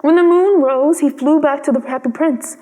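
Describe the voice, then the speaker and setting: A woman's voice: high voice, normal speed, warm tone. A woman reading a storybook aloud as a scripted storyteller. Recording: long reverb.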